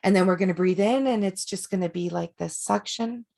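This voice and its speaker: A woman coaches a group through slow breathing and a meditation in a loose, conversational way. Her mid-pitched voice carries a gravelly edge, and she drifts into fillers and restarts as she talks. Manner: relaxed, chatty, with frequent fillers and restarts. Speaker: energy healer leading a group session. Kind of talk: guided breathing and meditation instruction.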